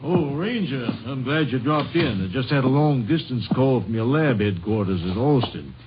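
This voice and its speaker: An actor in an old-time radio drama playing a businesslike small-town Texas police chief with a folksy, matter-of-fact way of talking. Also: male voice, medium pitch, lively intonation, normal speed, close to an everyday voice. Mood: helpful. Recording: dull, noisy vintage radio recording.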